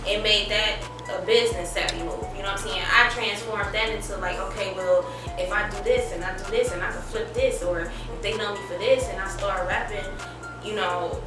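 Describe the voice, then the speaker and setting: A woman from Ohio, a rapper and radio personality with a high, girlish baby voice, chatting casually in streetwise slang with lots of fillers. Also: a little fast, very echoey, far from the mic, over music. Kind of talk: casual interview chat.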